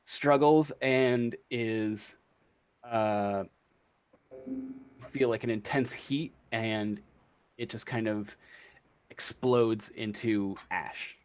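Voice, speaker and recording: A man improvising narration as game master of a tabletop role-playing game, halting as he thinks aloud. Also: on the low side, steady tone, clean tone.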